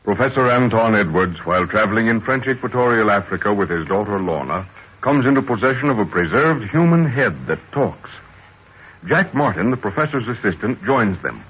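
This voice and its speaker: A man's voice: on the low side, very animated, brisk, breathy edge. A man giving dramatic, portentous scripted narration as announcer of a 1930s radio serial. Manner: solemn, portentous. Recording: muffled 1930s radio recording.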